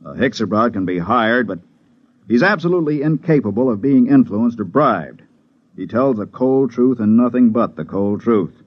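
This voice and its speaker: A man's voice: mid-range voice, expressive, normal speed, lightly acted. An actor playing a tough, level-headed spaceman who narrates an old radio drama with a simmering temper. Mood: matter-of-fact. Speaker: narrator and lead character. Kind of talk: mid-century radio drama acting and narration. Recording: muffled old radio recording with music.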